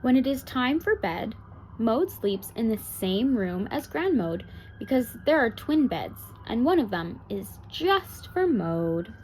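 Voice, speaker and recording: A woman's voice: fairly high pitch, sing-song, slow pace, a little mellow, slight background noise, rough recording. A woman narrating a children's picture book aloud as a bedtime story. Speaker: storybook reader.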